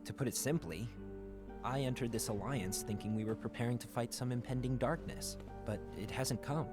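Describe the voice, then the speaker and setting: A man's voice: mid-range voice, normal speed, natural voice. A man voicing a blunt, evasive young warrior in a fantasy video game, with a casual, dismissive manner. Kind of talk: dramatic video game cutscene dialogue. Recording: game audio under loud music.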